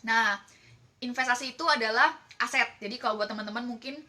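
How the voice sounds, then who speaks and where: A woman's voice: on the high side, fast talker, bright, forceful tone. An Indonesian-accented woman presenting as a team leader, explaining casually and chattily while often checking in with listeners.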